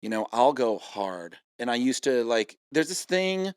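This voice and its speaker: A man's voice: medium pitch, big pitch swings, unhurried, clear, resonant tone, no echo, studio quality. Laid-back man in his thirties. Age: 30s. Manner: laid-back, rambling with fillers. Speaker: podcast guest. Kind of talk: casual chat. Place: home room.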